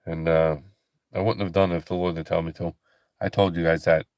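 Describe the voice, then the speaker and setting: A tired man hosting a late-night ministry livestream, talking slowly and softly with a calm, relaxing voice, with many pauses and restarts. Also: low voice, no echo. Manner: slow, halting, soft-spoken, many fillers and restarts. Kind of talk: casual late-night livestream chat with viewers.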